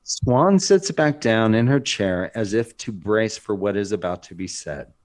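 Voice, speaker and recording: Casting: male narrator, fairly low voice, reading scripted scene directions for a drama table read. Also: expressive, a little slow, a little mellow.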